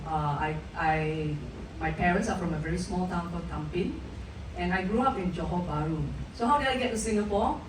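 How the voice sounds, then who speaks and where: A woman's voice: fairly low pitch, a little fast. A middle-aged woman from Malaysia, a guest speaker telling her personal story at a live event, earnest, with many restarts. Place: event hall. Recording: distant echoey hall mic, noisy.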